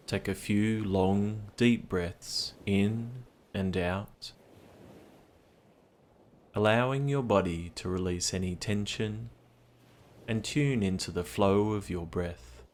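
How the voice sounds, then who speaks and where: A man narrating a guided meditation in a gentle, soothing, unhurried manner. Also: lowish voice. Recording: clear steady background ambience noise, ocean sounds. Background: ocean sounds.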